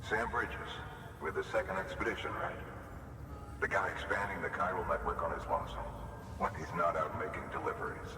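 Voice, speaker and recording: A man's voice: low-pitched, brisk, lightly acted. A man playing a friendly, admiring outpost worker in a video game, talking conversationally. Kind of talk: video game cutscene dialogue. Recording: echoey hall, distant, noisy background.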